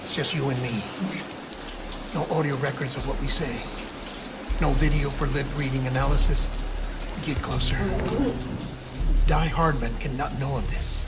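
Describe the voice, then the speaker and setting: An actor playing a smooth-talking, clinical scientist in a cinematic video game, explaining things in theatrical, precise phrasing. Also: male voice, mid-range voice, steady tone, normal speed, long pauses, heavy background noise, natural voice. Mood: secretive, urgent. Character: fussy, smooth-talking male scientist-doctor, clinical and secretive.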